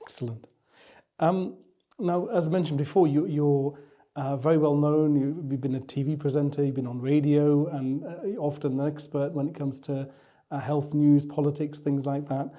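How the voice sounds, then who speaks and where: A man hosting a podcast interview, putting questions in measured, halting phrases. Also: on the high side, fairly even intonation, mellow. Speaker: podcast host interviewing a doctor.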